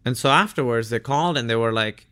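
A man's voice: mid-range voice, fast, pushed and bright, clean tone. A man in his thirties from Pakistan, an actor telling stories as a guest in a casual interview, full of fillers and acted-out quotes.

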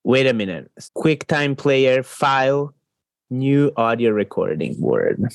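A man's voice: medium pitch, very animated, slow, creaky, no room sound. A man, a climber giving shy, hesitant answers with laughs. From Switzerland. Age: 20s. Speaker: climber, guest.

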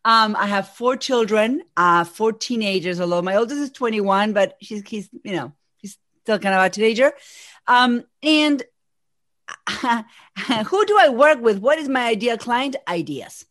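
A woman in her forties from Argentina, a native Spanish speaker with an Argentine Spanish accent, talking as a podcast guest and executive coach in an energetic, think-aloud way. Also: a little high, unhurried, no room sound, studio quality. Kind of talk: casual podcast conversation.

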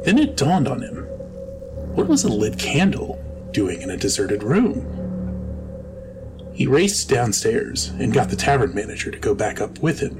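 A man of medium pitch narrates a scripted story. His intonation is very lively, and music plays behind him. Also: a little slow, pauses often.

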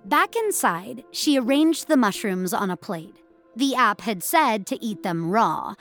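A woman's voice: fairly high pitch, lively intonation, unhurried, a bit bright. A woman giving a scripted narration of an audio fiction story. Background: music.

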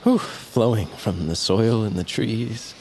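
A young man playing a gentle, dreamy bear musician and devoted grandson in an audio drama, soft-hearted and a little hesitant. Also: lowish voice, slow pace, slight background noise. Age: young adult. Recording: insect ambience clearly behind voice.

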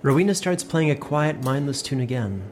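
A man's voice: medium pitch, animated, normal speed, a little hiss or hum. A man giving scripted narration for a fantasy story.

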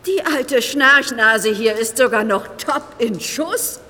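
A woman's voice: fairly high pitch, lively intonation, slow pace, sharp tone, heavily acted. A woman playing a curious, knowledgeable boss of mine workers in a children's audio drama, given to exclamations. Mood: amazed, curious. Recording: long cavernous reverb, music behind.

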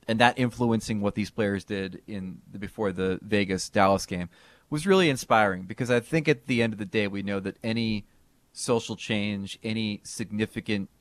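A man co-hosting a sports podcast, talking in a casual, animated way with bursts of emphasis. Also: lowish voice, no room sound. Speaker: podcast co-host.

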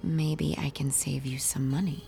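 A woman's voice: low-pitched, little pitch movement, unhurried, vocal fry, dark tone, soft background music. An actress playing a young female artist in an intimate audio drama, troubled and stubborn, with a wry, direct manner. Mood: intimate, uneasy. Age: young adult.

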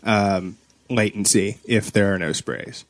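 A man's voice: on the low side, fairly even intonation, slow, low-quality recording. A man co-hosting a casual gaming podcast with a wry, teasing manner. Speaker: podcast co-host.

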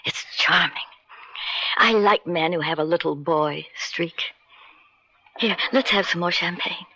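Coy married seductress, low voice, teasing and intimate, radio drama, dull vintage recording. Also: female voice, measured pace, pushed and bright, exaggerated voice. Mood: coy, inviting. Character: flirtatious, self-assured married woman seducing a man.

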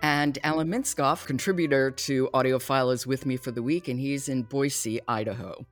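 A woman's voice: rumbling low, steady tone, normal speed, faint music behind. A woman hosting a podcast discussion about books. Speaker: podcast host.

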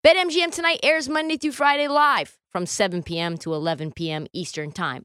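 A woman's voice: on the high side, fairly bright tone, clear, resonant tone, no echo, clean studio sound. A woman hosting a casual sports talk podcast in a conversational, punchy, playful way.

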